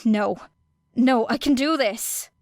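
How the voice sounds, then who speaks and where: A woman's voice: on the high side, slow, a little mellow. A woman voicing a wounded, stubborn girl fighter in an audiobook, talking in an urgent, curt, snappish way. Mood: desperate, pleading.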